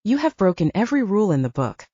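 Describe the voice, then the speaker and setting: Woman voicing an angry, brutish male werewolf, animated delivery. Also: mid-range voice, fast talker, slightly rough, no echo, lo-fi sound. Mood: angry, menacing. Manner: aggressive, profane, challenging.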